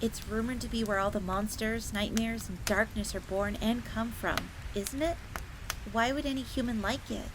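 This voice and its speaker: A high-pitched young fox maid, played by a woman, earnest and secretive. She confides like a servant sharing castle lore in an audio roleplay, with a crackling fire audible behind her. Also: steady tone, normal speed, close to an everyday voice. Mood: explaining, curious. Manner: speaks of herself in third person, confiding.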